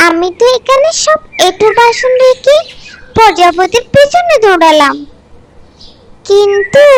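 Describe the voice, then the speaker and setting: A woman's voice: very high voice, heavy background noise, heavily acted. A woman voicing a sweet, obedient and lonely little girl in a children's fairy-tale cartoon, childlike and plaintive. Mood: puzzled.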